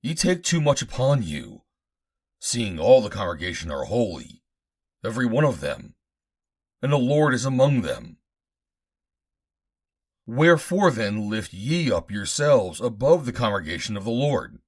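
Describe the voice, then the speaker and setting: Male reader as aggrieved biblical rebel: accusing, declamatory, low register with bold rises. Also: big pitch swings, unhurried, pauses often, husky, exaggerated voice. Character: defiant, aggrieved male rebels challenging their leader.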